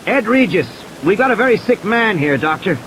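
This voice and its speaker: A man's voice: sits high, big pitch swings, brisk, background noise, pitched above the performer's own voice. A man voicing an edgy, urgent man who barks orders and gets defensive in an audio play. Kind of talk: audiobook character acting.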